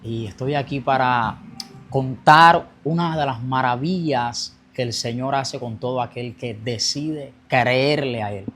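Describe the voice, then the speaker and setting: A man's voice: medium pitch, unhurried, fairly bright tone, slight background noise. A young man telling his personal faith testimony as a guest, earnest, speeding up as he recounts his past. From Colombia.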